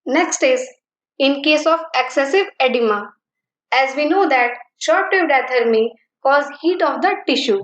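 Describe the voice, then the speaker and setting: A woman teaching physiotherapy, slowly listing points and mixing Hindi with terms. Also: high voice, roomy sound, lo-fi sound. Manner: mixes Hindi and English terms, list-like delivery. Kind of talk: slow, deliberate lecture reading.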